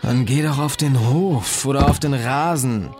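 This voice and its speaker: A man's voice: mid-range voice, a little slow, loud music behind the voice, close to an everyday voice. A man playing an irritated young male student in an audiobook, blunt and exasperated as he speaks. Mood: annoyed. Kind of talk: audiobook character dialogue.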